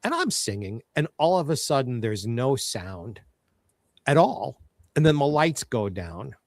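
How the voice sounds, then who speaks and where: A man co-hosts a talk show and tells backstage stories with theatrical relish. His voice is mid-pitched. It is recorded dry and close. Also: sing-song, unhurried, no echo. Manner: theatrical storyteller, showbiz flair. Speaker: co-host. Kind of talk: casual chat.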